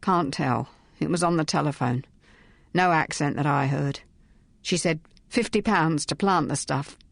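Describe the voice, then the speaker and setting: A woman reading as a scared young constable, halting and pleading, in a low, dry voice. He sounds cornered and tearful. It is a spoken storybook. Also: vocal fry, dry recording, exaggerated voice. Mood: fearful.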